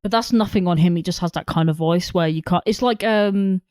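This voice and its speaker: Low, mellow woman in casual chat. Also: fairly quick, dry recording, studio quality. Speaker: film podcast co-host. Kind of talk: casual film review chat.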